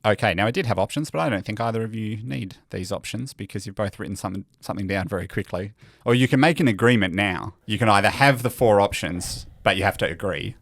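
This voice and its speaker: A man's voice: on the low side, fast talker, creaky, a bit bright. An Australian man hosting a quiz in a casual, bantering style. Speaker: quiz host.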